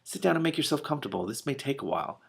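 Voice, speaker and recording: A man reading a storybook as a composed, shrewd female dragon, with a measured, dry delivery. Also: mid-range voice, sing-song, gravelly, slightly rough, voice pushed up, exaggerated voice. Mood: patient, explanatory. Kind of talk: storybook read-aloud.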